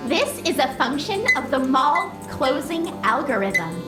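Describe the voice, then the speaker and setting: A woman's voice: high voice, big pitch swings, a little slow. A woman voicing a naive, eager AI computer with a girlish anime avatar, formal and childlike in manner. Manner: formal, childlike, literal-minded. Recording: roomy echo. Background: music.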